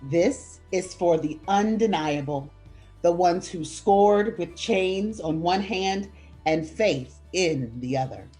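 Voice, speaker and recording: A woman reading a picture book aloud as a guest reader, with measured, deliberate phrasing. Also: on the low side, animated, warm tone. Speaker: school principal, guest reader. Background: background music.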